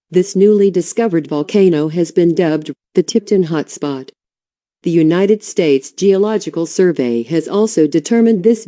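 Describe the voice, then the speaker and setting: A woman's voice: lowish voice, dark tone, lightly acted. A woman playing a serious, composed news anchor delivering a formal scripted bulletin.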